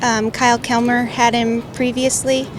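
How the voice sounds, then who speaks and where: A horse rider from Montana speaks matter-of-factly in a flat, even tone. She is recorded outdoors, with clear background noise and passing vehicles. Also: on the high side, moderate pace.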